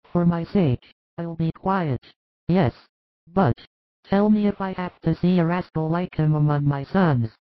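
A man voicing a quick-tempered older mother who scolds with strong emotion. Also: fairly high pitch, no echo, pitched above the performer's own voice, close to an everyday voice. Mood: angry. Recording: thin phone-like recording.

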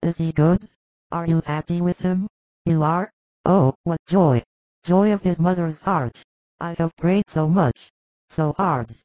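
A devout, anxious biblical mother, as a male storyteller plays her, speaks in a raised, tender pitch. Her delivery is fervent and effusive, in a religious storybook style. The recording is dry and a little dull. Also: unhurried, no echo, natural voice. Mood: relieved, overjoyed. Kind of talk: dramatized scripted religious storytelling.

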